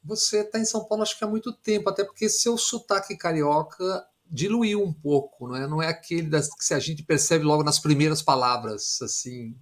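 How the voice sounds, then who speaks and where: A man hosting an interview, giving formal, measured introductions and asking questions. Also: a little high. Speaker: interview host.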